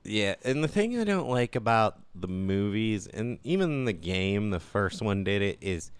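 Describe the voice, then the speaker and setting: A man's voice: fairly low pitch, big pitch swings, measured pace, clean tone, dry recording. A young man co-hosting a podcast, chatting casually about games with blunt, slangy opinions. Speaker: podcast co-host. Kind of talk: casual gaming chat.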